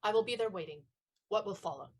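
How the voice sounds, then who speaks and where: A woman's voice: medium pitch, fast, a little mellow, breathy edge, natural voice. A woman acting an eager, lovestruck adolescent page boy in an audio play, earnest and dramatic.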